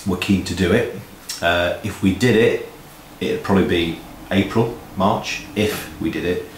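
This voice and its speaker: Deep bloke co-hosting a casual chat, echoey office, faint noise behind. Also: male voice, measured pace. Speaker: co-host of a music event promoters' video update. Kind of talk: casual two-person chat. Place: indoor office room. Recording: roomy echo, noticeable background noise.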